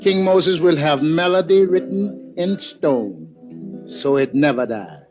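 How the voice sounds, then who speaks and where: Laid-back calypso guitarist, highish voice, very slow, muffled vintage radio, soft guitar. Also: male voice, lively intonation. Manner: unhurried, clipped grammar. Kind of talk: 1950s radio drama acting. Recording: muffled old radio recording.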